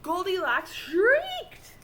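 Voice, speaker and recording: Woman, high pitch, very animated children's story narration, dramatic phrasing, noisy recording. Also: extremely slow. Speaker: storybook reader.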